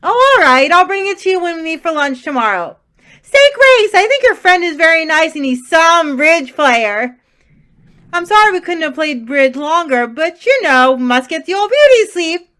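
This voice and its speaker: Woman playing spirited young society lady, very high pitch, bright and sharp, exclamatory, old-time radio drama. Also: sing-song, normal speed, exaggerated voice. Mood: bright, chatty. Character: indignant, frightened but defiant young society woman.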